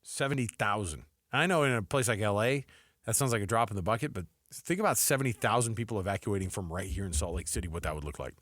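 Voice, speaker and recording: A middle-aged American man co-hosting sports talk radio with casual, animated, colloquial banter. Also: fairly low pitch, fast, no room sound, studio quality. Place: radio studio. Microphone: close studio microphone.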